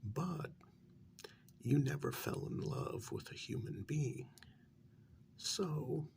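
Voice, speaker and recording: A performer as a slow, creaky, whispering official. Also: mid-range voice, expressive, a little hiss or hum. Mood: explaining, firm. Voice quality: soft, breathy half-whisper. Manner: measured, deliberate, gently reproachful. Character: patient, probing official questioning a renegade vampire. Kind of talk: ASMR soft-spoken role-play.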